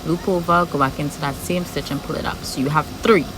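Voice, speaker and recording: A woman's voice: low-pitched, fairly even intonation, a little fast, background noise. A woman from Trinidad with a strong Trinidadian accent, talking viewers through a crochet pattern step by step and patiently repeating each move as she works. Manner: patient, repeats steps while working. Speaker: crochet tutorial host. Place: home room with background noise.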